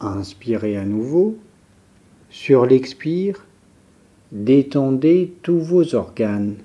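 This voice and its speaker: Very dark-voiced man guiding slow relaxation. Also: medium pitch, dull audio. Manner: calm, measured instructions with pauses. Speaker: yoga nidra teacher. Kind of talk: guided relaxation meditation.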